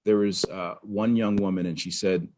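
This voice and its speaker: A man from Pittsburgh, a podcast guest, telling his story in an animated, conversational way with frequent restarts. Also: low voice, moderate pace, a little hiss or hum. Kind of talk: conversational interview.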